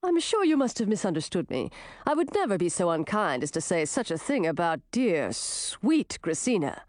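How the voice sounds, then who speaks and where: A woman voicing an insincere young prince with formal, flattering speech in a storybook. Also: on the low side, normal speed, no room sound, clean studio sound, pitched below the performer's own voice. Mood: smooth, defensive.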